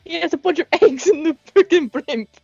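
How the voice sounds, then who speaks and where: A woman's voice: very high voice, brisk, darkish tone, dull audio. An Irish woman in a casual fan chat, joking playfully and trailing into hesitant asides. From Ireland. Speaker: co-host of a fan tribute chat. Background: music.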